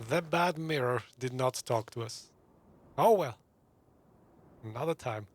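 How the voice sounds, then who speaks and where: Casual male gamer, very animated intonation. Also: medium pitch, measured pace. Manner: flippant, bemused. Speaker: gamer commentator. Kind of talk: casual reaction commentary over a game.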